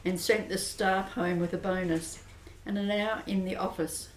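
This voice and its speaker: A woman's voice: medium pitch, fairly even intonation, normal speed. Woman narrating a radio play, echoey room. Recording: roomy echo.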